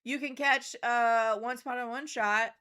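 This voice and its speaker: A woman's voice: a little high, steady tone, a little slow, bright, forceful tone, clean tone. A woman playing a tabletop game, chatting casually with her group. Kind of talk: casual chat.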